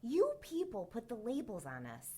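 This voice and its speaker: A woman playing a prickly, defiant witch in her twenties in an audio drama, curt, sarcastic and measured. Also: fairly high pitch, wildly swooping pitch, warm tone, slightly rough, full character voice. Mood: guarded. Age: 20s.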